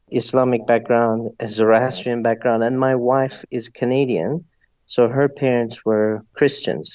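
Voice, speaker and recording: A man's voice: mid-range voice, darkish tone, dry recording. Zambian man, earnest interview voice, dull dry sound. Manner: thoughtful, earnest storytelling. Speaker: interview guest, novelist. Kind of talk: conversational interview answers.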